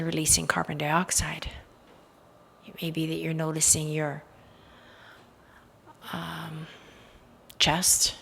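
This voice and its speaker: A woman leading a guided meditation in a soothing, hypnotic style, with soft trailing phrase endings. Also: low-pitched, gravelly.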